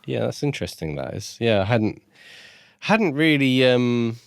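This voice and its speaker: A man's voice: medium pitch, lively intonation, measured pace, vocal fry, clean tone, no room sound, studio quality. A man co-hosting a casual book podcast, speaking in a tentative, agreeable way. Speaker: podcast co-host.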